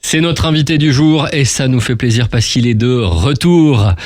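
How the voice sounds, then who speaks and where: A male radio host in a casual, chatty interview. He gushes and teases in slangy bursts, with a mid-pitched voice. The sound is dry and studio-close. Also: normal speed, darkish tone, no echo, soft background music. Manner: effusive, teasing, slangy hype.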